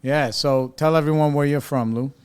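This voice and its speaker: A man's voice: a little high, steady tone, normal speed, smooth. A man hosting a podcast, chatting casually and laughing easily with his guests. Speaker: podcast host. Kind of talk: casual interview chat.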